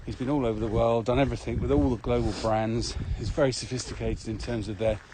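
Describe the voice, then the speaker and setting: A man's voice: mid-range voice, steady tone, quick pace. A man co-hosting a podcast, explaining things in casual conversation while walking outdoors. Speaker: podcast co-host and virtual training consultant. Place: outdoors on a walk. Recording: very noisy outdoor recording, clicks and traffic.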